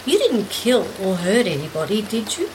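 A woman reader voicing a polite, shy, frightened woman in a story, quiet and timid in manner. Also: mid-range voice, big pitch swings, measured pace, background noise.

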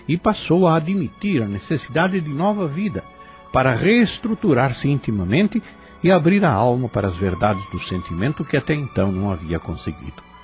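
Animated Brazilian man giving spiritual commentary. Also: mid-range voice. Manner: reflective, formal, preaching.

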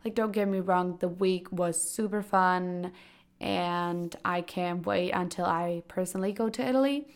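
Raspy young woman with a cold. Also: on the low side, unhurried. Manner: chatty, loose, full of "like" and "kinda". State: hoarse from a cold. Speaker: podcast host. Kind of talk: casual solo podcast chat.

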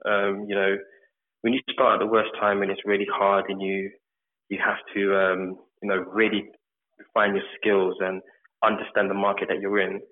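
A man from England with a London accent, a mortgage advisor answering interview questions in a relaxed way, chaining thoughts with lots of fillers. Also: fairly low pitch, moderate pace, a little hiss or hum. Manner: relaxed, talks in long run-on chains with fillers. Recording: tinny phone-like line.

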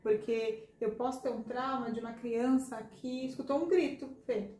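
A hoarse woman with a slightly high voice hosts a casual podcast conversation and speaks earnestly straight to her listeners. The echoey room carries a faint background hiss. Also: steady tone, normal speed, dark tone. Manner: earnest, addresses listeners directly. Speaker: podcast host and hypnotherapist.

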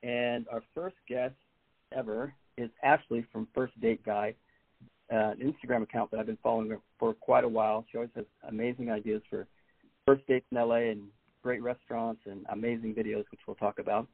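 Male podcast host, low gravelly voice, rapid delivery, dry and tinny phone-like sound. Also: little pitch movement, no echo. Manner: quick, friendly questioning. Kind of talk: casual interview hosting.

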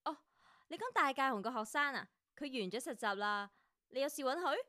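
Confident radio boss, hong kong cantonese, bright crisp voice, slightly high, brisk and pro. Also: female voice, animated, no echo, studio quality. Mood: nonchalant. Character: savvy, confident female radio director and interviewer. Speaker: radio host and director. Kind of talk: radio drama acting.

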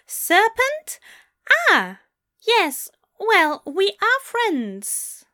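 A woman voicing a polite, bewildered British gentleman in precise, enunciated tones for an audio story. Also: extremely high pitch, expressive, slow pace, voice pushed up, full character voice. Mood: surprised, uncomfortable. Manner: genteel, over-polite, fussy.

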